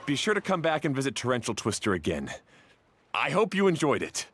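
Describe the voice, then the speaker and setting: An actor playing a welcoming theme-park host in a video game, with a cheery, inviting delivery. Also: male voice, medium pitch, huge pitch swings, a little fast, background noise. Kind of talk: animated video game acting.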